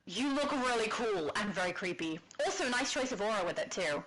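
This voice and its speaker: A woman co-hosting a casual livestream and reading chat comments aloud, chatty with frequent giggles and a high soprano voice. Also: expressive, brisk, a bit bright, poor audio. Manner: giggly, chatty, laughs often. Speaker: stream co-host.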